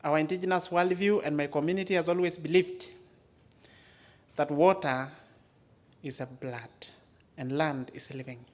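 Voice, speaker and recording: Male conference speaker, East African, native Maa speaker, fairly high voice, deliberate storytelling delivery with emphasis. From Maasai areas of Kenya and Tanzania.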